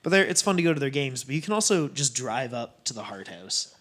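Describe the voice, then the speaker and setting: Lively-voiced Canadian man. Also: medium pitch, brisk. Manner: easygoing, chatty. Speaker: podcast co-host. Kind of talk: casual chat.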